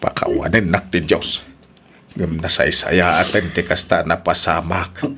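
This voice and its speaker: A man's voice: low voice, steady tone, unhurried, bright, forceful tone, a little hiss or hum. A man with an Ilocano accent playing a sorrowful older father in an audio drama. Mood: grave, sympathetic.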